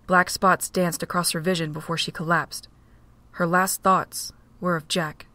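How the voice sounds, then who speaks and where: Steady woman narrating a fan drama. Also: lowish voice, a little slow. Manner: steady reading. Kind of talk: storybook narration.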